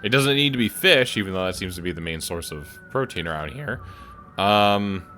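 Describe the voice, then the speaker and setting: A man's voice: deep voice, animated, normal speed, bright, forceful tone, soft background music. A man playing a game on camera, thinking aloud and making wry jokes as he goes. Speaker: gaming YouTuber. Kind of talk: let's play commentary.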